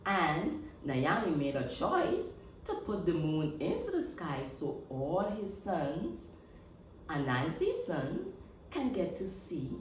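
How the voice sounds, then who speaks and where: A woman telling a story expressively to young children, in simple, deliberate phrases with emphasis. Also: low-pitched, darkish tone. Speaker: children's read-aloud storyteller. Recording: echoey room, distant mic, faint noise.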